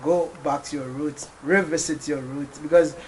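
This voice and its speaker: Male interviewer, Ghanaian accent, slightly high pitch, eager casual delivery, faintly noisy ambient recording. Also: fairly even intonation, normal speed. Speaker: interviewer, video host.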